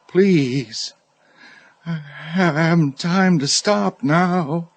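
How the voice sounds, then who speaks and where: Slow, tormented, desperate man. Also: fairly high pitch, darkish tone. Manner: halting, desperate. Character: tormented guilt-ridden male judge, unravelling. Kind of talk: radio drama acting. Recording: noisy radio drama recording.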